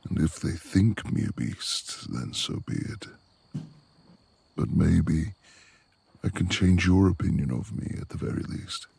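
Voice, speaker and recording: A man playing a gruff, reclusive lord of the manor in a romantic ASMR audio roleplay, with gravelly, formal, imperious speech and a tender streak. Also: low-pitched, a little mellow, full character voice. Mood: teasing, brooding. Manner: formal old-fashioned diction, imperious.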